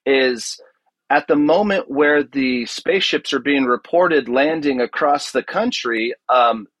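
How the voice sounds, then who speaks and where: Male podcast guest, mid pitch, casual storyteller, very dry close recording. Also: a bit bright, no room sound, low-quality recording. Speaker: podcast guest, audio drama producer. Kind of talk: casual interview, animated storytelling.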